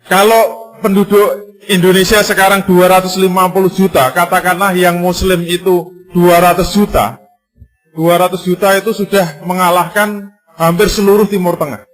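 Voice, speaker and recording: Preacher with a higher male voice. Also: moderate pace, soft background music. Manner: rhetorical questions and emphatic repetition; lively, conversational and jokey in places. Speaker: Islamic preacher. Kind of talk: sermon.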